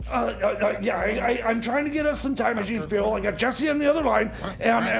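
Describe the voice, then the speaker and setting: A man's voice: very high-pitched, fairly quick, gravelly, crowd noise. A man playing a flustered, exasperated time machine mechanic in comedy radio theater, stammering and grumbling in agitation. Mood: stressed, pleading.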